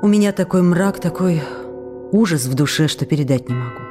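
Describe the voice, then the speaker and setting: A woman's voice: low voice, expressive, a little fast, music in the background. An actress playing an emotional, affectionate young stage actress who reads her candid letters expressively and intimately in a radio drama. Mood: anguished, dejected.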